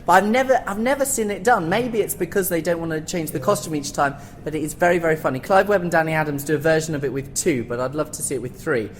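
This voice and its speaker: A man's voice: on the high side, fast, few pauses. A man speaking as a panellist in an informal but informed discussion, explaining things articulately. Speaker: panellist, theatre commentator. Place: theatre, live panel discussion. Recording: live recording with background room noise.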